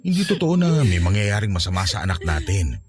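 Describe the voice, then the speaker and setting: A man's voice: low voice, big pitch swings, a little fast. A man playing a protective husband and father in a radio drama, soothing and insistent, with a Filipino accent. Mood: tender. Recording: music bed behind voice.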